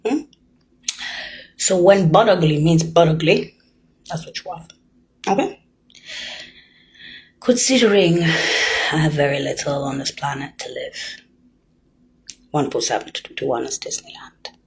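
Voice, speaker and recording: An older woman in a rambling monologue, lurching between hushed whispers and loud, emphatic bursts as she accuses her listeners directly. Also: sits low, a little slow, pauses often, husky, rough recording.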